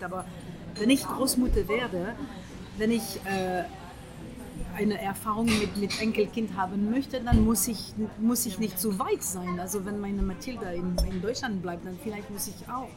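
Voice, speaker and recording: Hesitant French-accented woman. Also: medium pitch. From France. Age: middle-aged. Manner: hesitant, searching for words, many fillers and restarts. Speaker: guest. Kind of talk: casual conversation over breakfast. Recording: breakfast table with a group chatting in the background.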